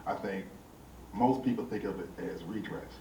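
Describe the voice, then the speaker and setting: A man with a low voice gives reflective remarks on an artists' panel. He speaks haltingly, with many pauses and hesitations. The microphone sounds distant in a reverberant room. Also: warm tone. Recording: roomy, distant mic, faint noise.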